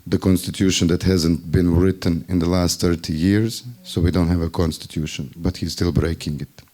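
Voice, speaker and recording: A man from Bosnia speaks up in a group discussion, his voice low and mellow. He has a Bosnian accent and a wry, ironic way of talking, and now and then he stops to look for the right word. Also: flat delivery, normal speed. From Bosnia and Herzegovina. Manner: wry, ironic, searches for words. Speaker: festival workshop participant. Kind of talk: spontaneous discussion remarks.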